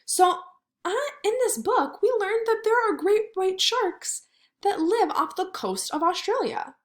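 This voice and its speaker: A woman's voice: very high-pitched, expressive, measured pace. A woman kindergarten teacher leading a read-aloud lesson, speaking in simple words and putting questions to her young pupils.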